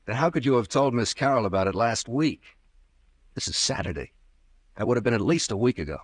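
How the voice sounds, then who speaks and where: An actor playing a stern, sardonic police captain who presses hard with questions in old-time radio drama style. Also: male voice, medium pitch, expressive, brisk, no room sound, studio quality, lightly acted. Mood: probing. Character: stern, relentless police captain interrogating a suspect.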